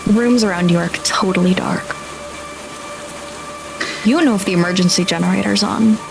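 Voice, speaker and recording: A woman's voice: mid-range voice, normal speed, creaky, over music. A woman narrating a tabletop horror roleplay as game master, addressing the players directly.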